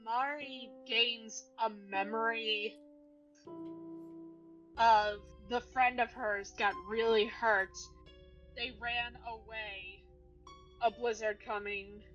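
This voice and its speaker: A woman's voice: on the high side, steady tone, slow pace, long pauses, fairly bright tone. A woman playing a tabletop roleplaying game, casually narrating and speaking for her own character. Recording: dull lo-fi mic, music underneath.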